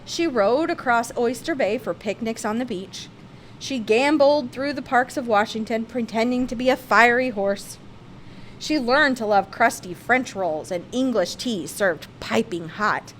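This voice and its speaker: A woman reading a children's picture book aloud, with expressive, emphatic phrasing. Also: on the high side, unhurried, slight background noise. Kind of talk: read-aloud children's storybook narration.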